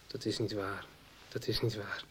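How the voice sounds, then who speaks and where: An actor playing an obsessed, threatening man in a radio soap, halting and insistently repeating his words. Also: lowish voice, flat delivery, fairly bright tone, breathy edge. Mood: stunned. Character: obsessed, desperate man hunting lost paintings, threatening. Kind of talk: 1990s radio soap acting.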